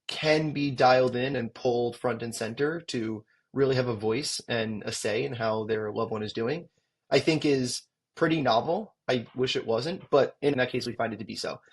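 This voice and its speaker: A man with a husky voice explains his education tech company as an interview guest. He talks in an articulate, explanatory way with occasional ums. His pitch sits in the middle of the range. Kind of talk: interview answers.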